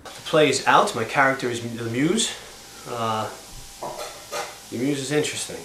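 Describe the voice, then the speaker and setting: A man from Long Island with an American accent, an actor talking about his role in a casual, halting way. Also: medium pitch. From Long Island, New York, USA. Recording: roomy with faint noise.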